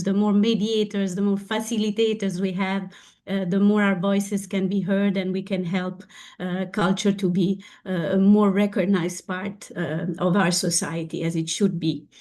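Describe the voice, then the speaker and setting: A woman's voice: medium pitch, darkish tone. A woman moderating an online webinar, introducing speakers with frequent hesitations and filler sounds. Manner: hesitant, many filler pauses. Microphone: video call.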